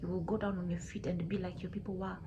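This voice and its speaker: A woman storyteller voicing a stern god of the land who pronounces judgment slowly and solemnly. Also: lowish voice, level tone, muffled recording, background noise, natural voice. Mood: stern, menacing. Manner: slow, deliberate, solemn pronouncements.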